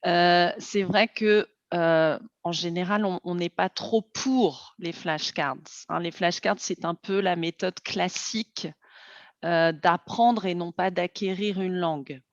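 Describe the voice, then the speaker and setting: A woman with a lowish voice hosts a slow, carefully paced interview for learners, friendly and full of small hesitations. She sounds as if she is on a video call, with a dull, dry, lo-fi quality. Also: no echo. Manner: friendly, with frequent hesitations and light laughs. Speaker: host.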